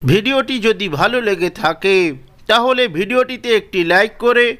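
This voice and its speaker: A male narrator reads a children's story from a script. His voice is high for a man, with a smooth, clear tone. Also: normal speed, pushed and bright.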